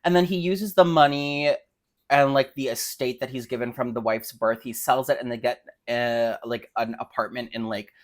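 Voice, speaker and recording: A woman's voice: very deep voice, fairly even intonation, moderate pace. A young woman with an American accent chatting casually as a podcast co-host, irreverent and slangy. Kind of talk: casual unscripted chat.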